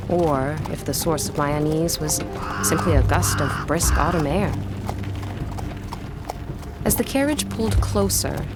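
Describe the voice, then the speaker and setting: A woman playing a poised, curious historian in a gothic audio drama, narrating in a measured, literary way. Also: low voice. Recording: music playing behind the voice.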